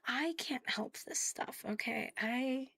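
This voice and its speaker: A woman chatting casually to viewers on an ASMR livestream, soft and breathy with a playful, cutesy manner, often dropping to a whisper close to the mic. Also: high-pitched, slow, no room sound. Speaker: ASMR live streamer hosting in a virtual world. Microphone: close, sensitive streaming microphone.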